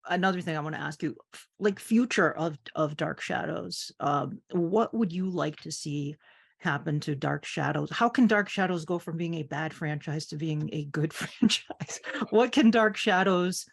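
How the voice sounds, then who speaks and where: Gravelly, low-pitched woman hosting casually. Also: moderate pace. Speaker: podcast host. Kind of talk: casual interviewing.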